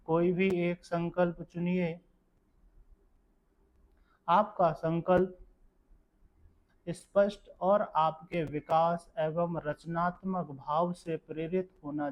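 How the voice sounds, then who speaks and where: A man with a slightly high voice leads a guided meditation at a very slow pace. His delivery is calm and measured, giving gentle step-by-step instructions. The recording sounds somewhat dull and lo-fi. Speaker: yoga nidra meditation guide.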